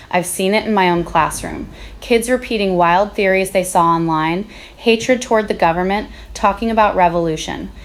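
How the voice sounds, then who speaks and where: A woman playing a concerned, stern high school teacher calling a radio show. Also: close to an everyday voice. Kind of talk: radio call-in drama acting.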